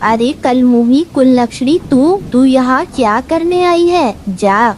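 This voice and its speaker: A high-voiced, cruel old mother-in-law bird played by a woman in a cartoon story. She scolds and threatens harshly, with thunder and noise behind her. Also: slow, a little mellow. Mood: angry, scolding. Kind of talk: Hindi cartoon story dubbing.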